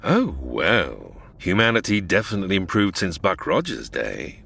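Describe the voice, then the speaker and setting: A man's voice: low-pitched, sing-song, moderate pace, gravelly, bright, forceful tone, husky, exaggerated voice. An actor playing a suave, mocking male space villain in a comedy audio drama. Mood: sneering.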